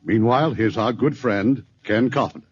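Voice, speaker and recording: A man's voice: medium pitch, normal speed. A man hosting a 1950s radio drama, introducing the evening's play with genial, wry showman's patter. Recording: muffled 1950s radio broadcast with faint hiss.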